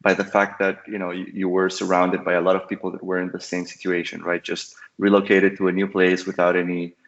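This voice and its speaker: Man, 30s, interview guest; very low, even delivery with fillers, dull lo-fi call audio. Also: fairly quick. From Costa Rica. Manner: thoughtful, many fillers and restarts. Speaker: guest entrepreneur. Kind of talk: casual interview talk.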